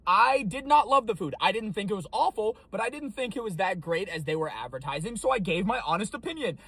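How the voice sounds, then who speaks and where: Husky, high-voiced young man from Texas. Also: expressive, fast talker, a bit bright, no room sound, low-quality recording. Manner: defensive, exasperated, talks it through. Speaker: food reviewer. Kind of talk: casual vlog to camera.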